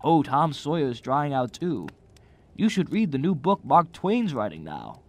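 A man playing a gentle, naive old angel in a radio drama, earnest, quaint and a little prim. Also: fairly high pitch, sing-song, measured pace, heavily acted. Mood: earnest, matter-of-fact.

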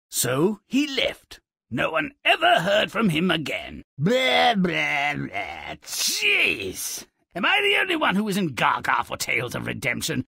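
A man voicing a gruff, mischievous gnomish storybook narrator for a video game, with a raspy, gravelly voice and a sardonic, pompous manner. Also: a little high, sharp tone, dry recording, clean studio sound, full character voice. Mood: exasperated, scornful. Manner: sardonic, pompous, alliterative, relishing each word.

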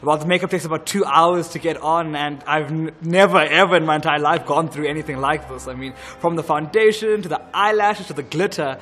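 A young student actor speaks in an earnest, grateful way, with a few halting restarts. His voice sits slightly high for a man, and he is recorded indoors on a dim school stage. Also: fairly bright tone, soft background music. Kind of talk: behind-the-scenes interview.

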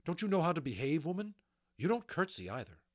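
A man as an earnest, indignant magician, slightly raised pitch. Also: sing-song, moderate pace, close to an everyday voice. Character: insecure, earnest male magician, jealous and indignant.

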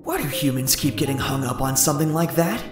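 A hot-headed young ghost guy, voiced by a woman, scornful and cocky. The pitch jumps about, and the voice rings with echo over loud music, in comic dub style. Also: expressive, a little fast. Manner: cocky, irritable.

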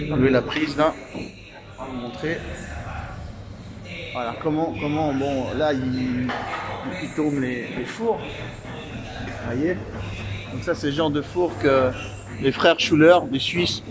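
Casual male vlogger, 30s, slightly high voice, loose and rambling, phone mic, restaurant crowd behind. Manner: chatty, rambling, many fillers. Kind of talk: casual vlog to camera. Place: small restaurant, indoors. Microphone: phone at arm's length. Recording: phone video with crowd chatter.

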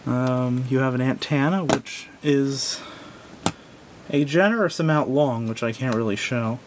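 Male reviewer, medium pitch, casual and unscripted, halting and self-correcting, home recording with slight rumbling noise. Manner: hesitant, rambling, self-correcting. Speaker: product reviewer. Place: indoors at a wooden table.

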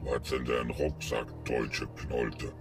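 A man's voice: rumbling low, little pitch movement, slow, noisy background. A man complaining and agreeing in a comedy sketch. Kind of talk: ranting comedy dialogue. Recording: faint music behind voice. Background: music.

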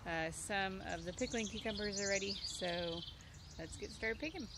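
A woman's voice: mid-range voice, moderate pace, heavy background noise. A woman in her thirties giving a casual, rambling tour of her backyard garden, filled with ums as she thinks aloud, recorded outdoors on a handheld phone. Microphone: handheld phone camera. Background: birds and outdoor nature sounds.

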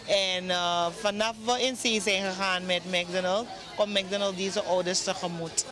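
A middle-aged woman from Suriname, a nurse, answering in a steady, matter-of-fact way into a handheld mic in a busy restaurant. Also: medium pitch, a little slow. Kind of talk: on-site interview. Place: busy fast-food restaurant, indoors. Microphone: handheld reporter mic. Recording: loud crowd behind.

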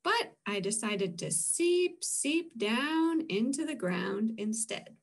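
A woman voicing a breezy, relaxed female raindrop, chirpily explaining her travels in a comic strip read-aloud. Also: a little high, takes it slowly, heavily acted.

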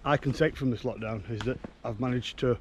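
A man, chatting casually to camera as an outdoors vlogger, unhurried and halting, often repeating words. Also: mid-range voice. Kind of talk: casual rambling chat to camera. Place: outdoors in woodland. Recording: outdoor woodland ambience, clear background noise. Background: natural outdoor ambient noise.

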